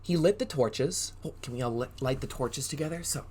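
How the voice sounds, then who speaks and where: A man with a mid-range voice reads a children's storybook aloud. He is an animated drama teacher who exaggerates his delivery and keeps turning to his young listeners with questions and prompts to play along. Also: mid-range voice, brisk, a little hiss or hum. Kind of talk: interactive children's read-aloud.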